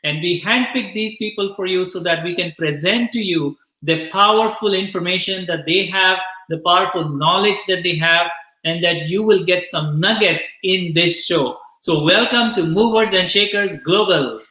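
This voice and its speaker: Enthusiastic co-host, mid-pitched bright voice, echoey room. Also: moderate pace. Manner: enthusiastic, short reactions. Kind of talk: hosting an interview.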